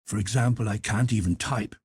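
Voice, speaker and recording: A man's voice: on the low side, fairly quick, darkish tone, slightly rough, no room sound, voice pushed up. A man reading a modest, earnest young man. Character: polite, earnest young man far from home.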